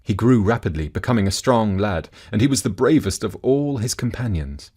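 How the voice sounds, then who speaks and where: A man's voice: on the low side, expressive, moderate pace, a little mellow, breathy edge. A man reading a fairy tale for children as a scripted narration. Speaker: storyteller.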